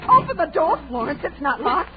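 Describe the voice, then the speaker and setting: An actress playing a snappy, high-strung, guilt-haunted young woman in a 1930s radio horror drama. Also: sing-song, a little fast, music in the background. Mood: alarmed. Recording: muffled, hissy 1930s radio recording.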